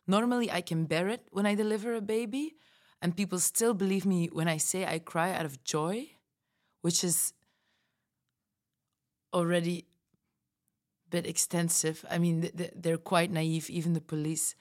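A woman's voice: lowish voice, fairly even intonation, normal speed, pauses often, a little mellow, close to an everyday voice. A woman playing a frightened pregnant mother who has fled, telling her backstory earnestly on a fiction podcast. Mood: earnest, fearful.

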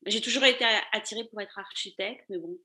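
A woman's voice: medium pitch, fast, sharp tone. A woman, answering casually as an interview guest in a loose, meandering way. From Paris, France. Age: 30s. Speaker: podcast guest, fashion accessories designer.

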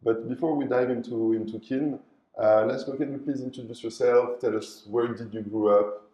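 A man hosting a podcast, asking a guest relaxed questions in an upbeat, friendly way. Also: medium pitch, normal speed, lo-fi sound. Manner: upbeat, scripted intro then relaxed questions. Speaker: podcast host. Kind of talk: podcast intro and interviewing. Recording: roomy echo with faint music.

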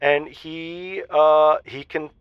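A man playing a persistent, overconfident lovestruck radio caller, halting yet self-assured, in an audio drama. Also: a little high, fairly even intonation, clean tone, dry recording. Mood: insistent. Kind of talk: semi-improvised audio drama phone-in. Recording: slightly dull, band-limited call audio.

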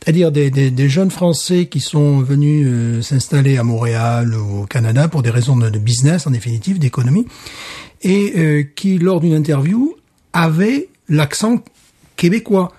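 Husky, mellow French man reflecting. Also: on the high side, moderate pace. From France. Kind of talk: casual conversation.

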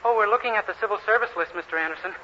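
A man's voice: high-pitched, racing, natural voice. An actor playing a naive, cheerful, literal-minded young man in a 1940s radio sitcom, with a guileless manner. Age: young adult. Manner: guileless, artless. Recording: noisy, dull 1940s radio recording.

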